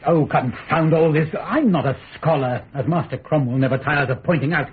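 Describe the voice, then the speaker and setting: An actor playing a blunt, well-meaning aristocrat in a radio drama, plain-spoken and blustering. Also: male voice, fairly high pitch, moderate pace, natural voice. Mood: exasperated, pleading. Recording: narrow, noisy radio recording.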